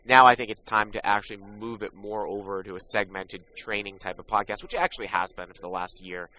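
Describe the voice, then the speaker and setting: A man's voice: lowish voice, fairly even intonation, a little fast, pushed and bright, a little hiss or hum, low-quality recording. A man who coaches poker and hosts a podcast, casually promoting his training products. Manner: plugging his products, confident.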